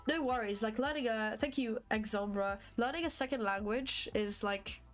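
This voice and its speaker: A woman's voice: on the high side, normal speed, soft background music. A woman whose first language is not English, casually chatting with viewers while live coding and thinking aloud with many fillers. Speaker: livestreamer coding a graphics engine.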